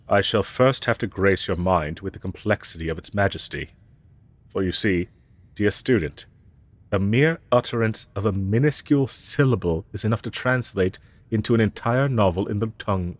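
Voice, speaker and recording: A low male voice , acted by a man, for an ancient dragon who teaches human students in a fantasy audio roleplay. He is haughty and pompous, choosing archaic, formal words. His delivery is deliberate and full of disdain for lesser creatures. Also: dry recording, lightly acted. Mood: mocking superiority. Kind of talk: roleplay audio drama, scripted monologue.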